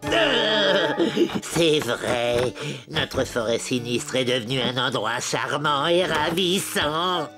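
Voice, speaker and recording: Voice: medium pitch, sing-song, takes it slowly. An actor voicing a sly, grumpy male goblin who loves gloom, scheming and theatrical, in a French children's cartoon. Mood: disgusted. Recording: music behind the voice. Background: music.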